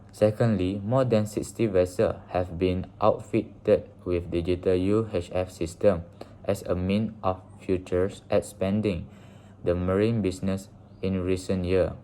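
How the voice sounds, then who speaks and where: A young Malaysian man with a deep, mellow voice reads a student presentation in a formal way. There is faint noise in the recording. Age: young adult. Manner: formal, enumerating points. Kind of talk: scripted class presentation.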